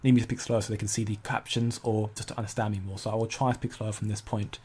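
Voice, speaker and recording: A man's voice: lowish voice, level tone, rapid-fire, darkish tone. Chatty man hosting a livestream. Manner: chatty, self-correcting, laughs easily at chat. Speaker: crypto livestream host. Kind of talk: casual livestream chat, answering viewer comments.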